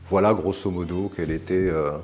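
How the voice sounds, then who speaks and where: A man in his forties, raised in Morocco, talks through his past with a very low voice. He speaks in a measured, articulate way and often pauses to hesitate. Soft music plays faintly underneath. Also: fairly even intonation. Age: 40s. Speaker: interview guest. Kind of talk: recounting his career to camera.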